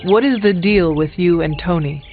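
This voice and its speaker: An actor playing a serious, formal warrior hero in a video game, earnest and literal-minded. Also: male voice, high voice, moderate pace. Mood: puzzled, dry. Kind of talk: video game dialogue acting. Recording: thin phone-like game audio, music.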